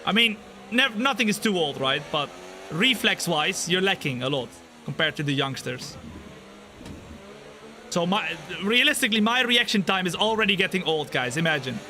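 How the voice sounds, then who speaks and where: Excitable young man calling a sim race. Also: moderate pace, a little hiss or hum. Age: 20s. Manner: excitable, jumps high when the race action happens, laid-back when chatting. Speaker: sim racing streamer. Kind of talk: live game commentary and chat reading. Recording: game music behind the voice. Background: race car engines and game music.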